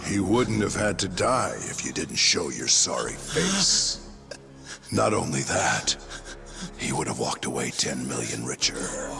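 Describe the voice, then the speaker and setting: An actor playing a menacing, sardonic yakuza captain who mocks and threatens in a video game cutscene. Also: male voice, very deep voice, very animated, creaky, music in the background, exaggerated voice. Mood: cold menace. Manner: mocking, deliberate, threatening. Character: menacing, sardonic male yakuza captain, coldly toying with people.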